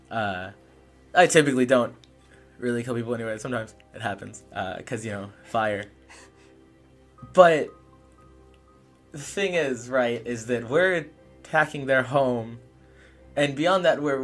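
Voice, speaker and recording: A man's voice: medium pitch, a bit bright. A man playing a tabletop game, roleplaying casually in a hesitant, questioning way. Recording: music behind the voice.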